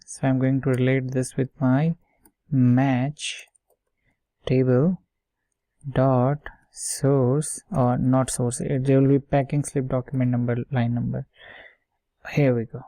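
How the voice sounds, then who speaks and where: A man gives an informal software walkthrough in a mellow voice with little movement in pitch. He goes step by step, haltingly, checking himself as he works. The sound is dry and close. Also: no echo. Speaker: software tutorial instructor. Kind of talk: informal screen-share coding tutorial, thinking aloud.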